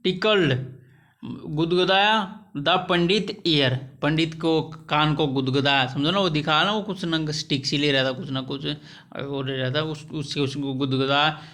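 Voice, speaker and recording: Slow, bright-voiced man teaching. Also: a little high. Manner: repetitive, halting, restarts each phrase with fillers. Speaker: English teacher. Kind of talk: explaining a story line by line, translating to Hindi.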